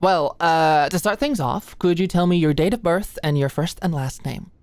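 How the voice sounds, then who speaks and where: A low-pitched young female medical student, acted by a woman, recorded close and dry. She is anxious and friendly, talking in a hesitant, conversational ASMR roleplay style and easily flustered around the person she secretly likes. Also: moderate pace, a little mellow, no room sound, lightly acted. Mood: friendly, professional. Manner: hesitant, chatty, nervous, conversational.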